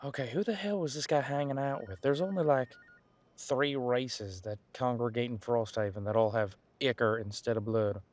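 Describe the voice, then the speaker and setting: A man playing a centuries-old, easygoing detective in an audio roleplay, talking casually and folksily as he muses aloud with pauses. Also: medium pitch, darkish tone, close to an everyday voice. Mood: surprised. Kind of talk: detective roleplay audio, one side of a conversation.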